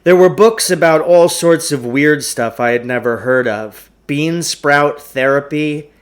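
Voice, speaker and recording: A man's voice: a little high, measured pace. A man reading a storybook aloud as a narrator, in the manner of a teacher.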